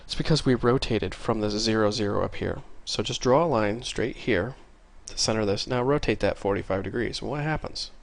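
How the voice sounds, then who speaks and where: A man with a congested voice from a cold, casually talking through a programming tutorial and getting excited over small things. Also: on the low side, normal speed, gravelly, hardly any pauses. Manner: casual, easily excited, chatty. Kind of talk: screen-recorded coding tutorial. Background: faint keyboard typing.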